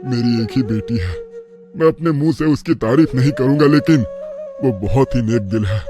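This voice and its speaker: A man's voice: mid-range voice, moderate pace, over music, lightly acted. A man playing a kindly older innkeeper in a cartoon moral story, speaking in an earnest, advising way. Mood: consoling. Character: kind fatherly older male innkeeper giving advice. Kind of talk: Hindi animated moral story dialogue.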